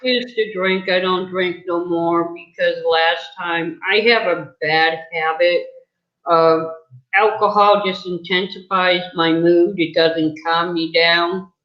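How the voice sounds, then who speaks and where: A woman's voice: lowish voice, steady tone, slow. A middle-aged woman from New England chatting casually and candidly on a livestream, telling personal stories in a rambling way. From New England, United States. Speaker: livestream host and interviewer. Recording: roomy, echoey, muffled lo-fi audio.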